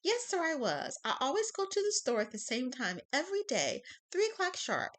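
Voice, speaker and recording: A woman's voice: sits high, big pitch swings, normal speed, a bit bright, pitched above the performer's own voice. A woman voicing a kind, chatty old lady testifying as a witness in a classroom courtroom skit. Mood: sweet, earnest.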